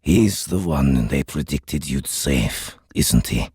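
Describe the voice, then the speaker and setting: A man voicing a grave, weary alien soldier who speaks softly and solemnly in an audiobook. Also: a bass voice, lively intonation, slow pace, slightly rough, no echo, clean studio sound, pitched below the performer's own voice, exaggerated voice. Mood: grave, resigned. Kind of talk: audiobook character voice.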